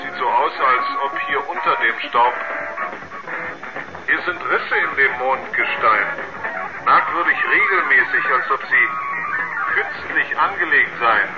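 An actor playing a puzzled, sceptical astronaut on the moon in a 1970s German radio play. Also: male voice, medium pitch, lively intonation, a little slow, pauses often, over loud music, lightly acted. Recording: muffled 1970s radio recording.

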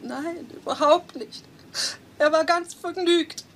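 A woman's voice: extremely high pitch, slow, a bit bright, distant mic, slight background noise, heavily acted. A woman playing the cooperative wife of a murder victim in a radio crime play. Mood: subdued, helpful. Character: soft-spoken, cooperative wife of a murdered man.

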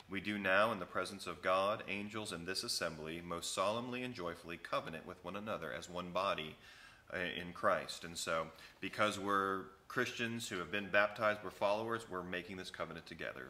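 A man in his thirties, a pastor, explaining and reading a church covenant to new members in a relaxed, conversational way, recorded on a close camera in an empty sanctuary. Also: sits low, brisk. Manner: conversational, explains each passage after reading it, many fillers. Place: empty church sanctuary with wooden pews, indoors. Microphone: camera at close range.

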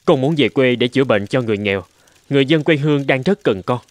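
A man's voice: mid-range voice, animated, fast, lightly acted. An actor voicing a polite, idealistic young medical man in a dubbed cartoon, respectful and earnest in his delivery. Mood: respectful, resolved. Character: polite, idealistic young man, doctor's apprentice turned physician.

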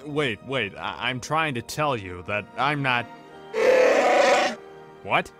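A man's voice: mid-range voice, animated, slow pace, music in the background. An actor playing a cocky, exasperated male smuggler captain in a comic dub.

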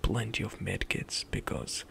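Young male ASMR gamer, slow gravelly whisper, close-miked. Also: lowish voice. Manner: soft whispered rambling with light laughs. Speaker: ASMR gaming streamer. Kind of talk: whispered ASMR gameplay commentary. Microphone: close studio mic, headset on.

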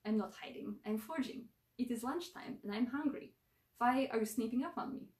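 A woman's voice: fairly high pitch, brisk, darkish tone, close to an everyday voice. A wary, touchy storybook squirrel monkey boy from the circus, played by a woman. He talks in a thick Russian accent with blunt, clipped, broken sentences. The voice is distant in a very echoey room. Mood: indignant. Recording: roomy echo, far from mic.

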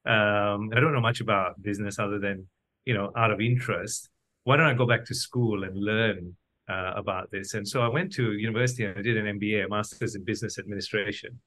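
A man's voice: lowish voice, fairly even intonation, a little fast, fairly bright tone. A middle-aged man from Malaysia giving reflective, conversational answers as an interview guest. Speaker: podcast guest, doctor turned entrepreneur.

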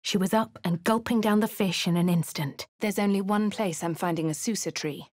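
A woman voicing a posh young female adventurer with an upper-class English accent, delivering crisp game lines and narration. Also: medium pitch, moderate pace, no room sound, natural voice. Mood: measured. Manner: crisp refined delivery, shouts spell incantations. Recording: dry studio voice booth.

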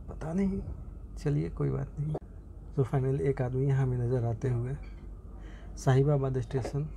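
A young man films his train journey on a phone and keeps up a loose, rambling commentary full of fillers and restarts. His voice sits in a middle pitch. Clear station and carriage noise runs under his voice. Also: warm tone. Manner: chatty, thinking aloud with frequent fillers and restarts. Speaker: vlogger. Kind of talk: casual travel vlog commentary. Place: inside a train compartment at a station, by the window. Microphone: phone camera held near the window. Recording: noisy phone recording in a train. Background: station and train ambience.

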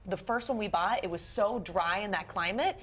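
An American woman hosts a morning television panel in casual, conversational style. Her voice sits at a medium pitch, with a little noise behind it in the studio. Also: normal speed, fairly bright tone. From American Midwest. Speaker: TV morning show host.

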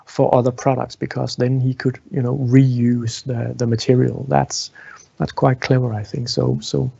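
A man in his thirties talks as a tour guide, informally and haltingly, often doubling back on his words. His mid-pitched voice has a gravelly creak. The sound is close and dry but a little dull. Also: flat delivery, dark tone, no room sound. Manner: halting, frequently repeats words while explaining. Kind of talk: informal guided tour talk, answering questions. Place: indoor museum exhibition room. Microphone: small clip-on lapel mic.

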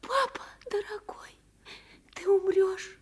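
An actress playing an earnest, outspoken nine-year-old girl in a radio play, with a candid, childlike manner. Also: slow pace. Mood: anxious. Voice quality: high, childlike. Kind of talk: Soviet radio play acting. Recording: vintage studio radio drama, piano music.

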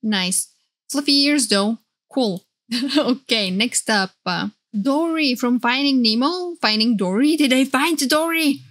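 A woman giving casual, excited reaction commentary as a streamer, playful and gushing. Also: high-pitched, slow. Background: game trailer music.

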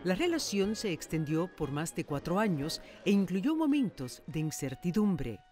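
Female TV reporter, scripted narration, lower register, very varied intonation. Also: big pitch swings, moderate pace, dark tone. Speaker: TV news magazine presenter and reporter. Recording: music bed under studio voice. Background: background music.

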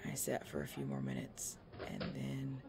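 Woman acting a gravelly-voiced, weary biker girlfriend. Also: very deep voice, very animated, normal speed, noisy background, heavily acted. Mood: weary, pained. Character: tough, weary, injured young biker girlfriend, protective of boyfriend. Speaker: roleplay audio performer. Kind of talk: ASMR girlfriend roleplay audio drama.

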